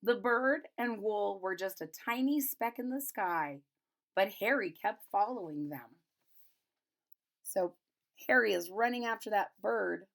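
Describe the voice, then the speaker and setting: A woman with a mid-pitched voice reads a picture book to young listeners. She is expressive and explanatory, moving between the written story and her own simple retelling. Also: unhurried, creaky. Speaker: storybook reader. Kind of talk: read-aloud of a children's picture book with casual retelling.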